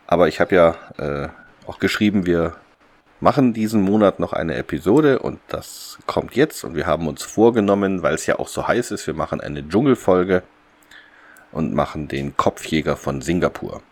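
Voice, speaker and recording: A man's voice: low-pitched, expressive, normal speed, darkish tone. A man hosting a podcast in a casual, unscripted chat, often hesitating as he presents. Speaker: podcast host.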